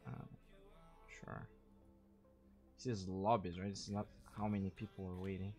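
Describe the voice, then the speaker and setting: A young man with a slightly low voice chats away while streaming a game, thanking viewers and thinking out loud as he plays. His comes through as he rambles casually into a so-so mic. Faint game music and keyboard clicks sit in the background. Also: level tone, normal speed. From Brazil. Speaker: streamer and caster.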